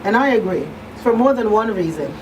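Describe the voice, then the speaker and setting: A woman talk show host chats casually in a medium-pitched voice. She is picked up from a distance, with clear noise around her. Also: animated, a little fast. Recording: distant mic, clearly noisy room.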